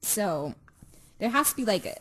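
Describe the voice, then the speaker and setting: A woman's voice: medium pitch, very animated, moderate pace, low-quality recording. A woman guest-hosting a podcast, chatting casually with friends. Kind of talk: casual chat.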